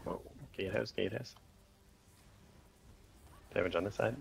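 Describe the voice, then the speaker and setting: A man leading a raid group over voice chat, calling short commands in rapid repeated bursts with countdowns. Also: lowish voice, vocal fry, slight background noise.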